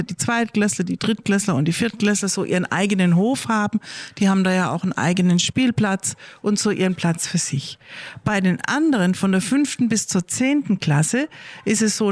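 A woman's voice: medium pitch, no room sound. Patient woman explaining things to children. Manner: slow, careful, clear wording for kids.

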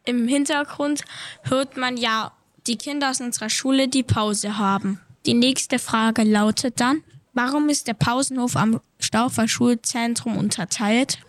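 A woman reading out interview questions for a class radio show, brisk and plain. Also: fairly high pitch, steady tone, dry recording. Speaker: pupil interviewer.

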